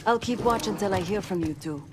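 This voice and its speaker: An actress playing a cool, guarded covert operative in a video game, terse and dry. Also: female voice, mid-range voice, fairly quick, noisy background, natural voice. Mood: cool, businesslike. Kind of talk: cinematic video game acting.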